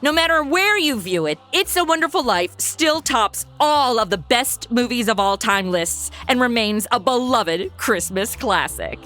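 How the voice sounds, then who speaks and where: A woman hosts a podcast in a perky, informal way. Her voice is high and very animated, with music playing clearly behind her. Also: a little slow, few pauses. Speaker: podcast host. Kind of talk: podcast narration and listener appeal.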